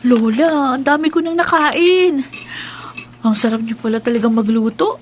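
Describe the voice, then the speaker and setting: A woman playing a sweet, playful young granddaughter in a radio drama, respectful and flattering. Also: high-pitched, moderate pace, a little hiss or hum, lightly acted.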